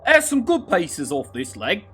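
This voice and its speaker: A woman's voice: fairly low pitch, huge pitch swings, measured pace, a bit bright, heavily acted. A woman playing a matter-of-fact, grisly kitchen butcher in an audio drama, casual and businesslike. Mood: casually brisk. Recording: clear ambient noise behind.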